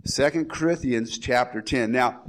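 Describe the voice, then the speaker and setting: A man's voice: mid-range voice, unhurried, slight background noise. A man with a slight cold preaching a casual, rambling verse-by-verse sermon as pastor. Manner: rambling, folksy, with restarts and asides. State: has a head cold.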